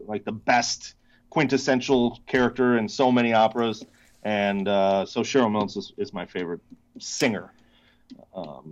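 A man's voice: on the low side, a little mellow, muffled recording. A man in his thirties, a podcast guest chatting casually and laughing at himself. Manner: chatty, self-deprecating, laughs often. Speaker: podcast guest, case company founder.

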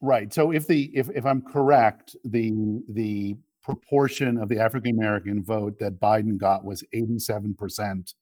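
Male podcast guest, emphatic and argumentative, halting phrasing, close dry sound. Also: on the low side, steady tone, darkish tone, dry recording. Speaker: interview guest, political commentator and media executive. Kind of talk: conversational political debate.

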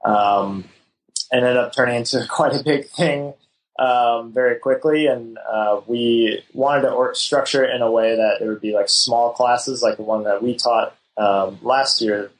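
A man, a wedding photographer guest on a podcast, explaining things in an easygoing, halting way. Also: mid-range voice, steady tone, low-quality recording. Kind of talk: casual interview chat.

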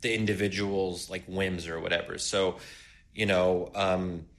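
A man's voice: deep voice, level tone, unhurried, gravelly. A man co-hosting a podcast, thinking aloud in a casual intellectual discussion, with frequent fillers and light laughs. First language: English. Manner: laughs lightly while making points. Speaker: podcast co-host.

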